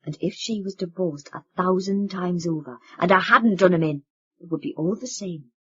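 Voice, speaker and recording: Angry young man played by a woman narrator, loud and cutting, in a dull recording. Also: medium pitch, very animated, moderate pace, husky. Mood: bitter. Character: bitter, furious young man accusing his family. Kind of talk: audiobook character reading.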